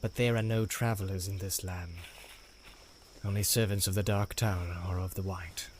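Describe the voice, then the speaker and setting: A guy doing a grim soldier captain, voice dropped low and kept quiet, measured and commanding, storybook reading. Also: fairly even intonation, a little hiss or hum, lightly acted. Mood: stern. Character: tall stern man, a grim captain of soldiers.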